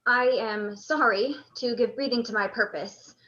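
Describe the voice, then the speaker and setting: A woman playing a torn male commander, declaiming gravely in a Shakespearean radio play. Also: fairly high pitch, normal speed, bright, forceful tone, dull audio. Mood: pleading. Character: Antony, grown male commander torn between love and duty.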